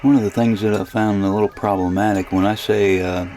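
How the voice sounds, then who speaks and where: A man casually narrating a software demonstration as a radio hobbyist, thinking aloud in a hesitant, rambling way with a gravelly voice. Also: lowish voice, fairly even intonation, brisk, slight background noise.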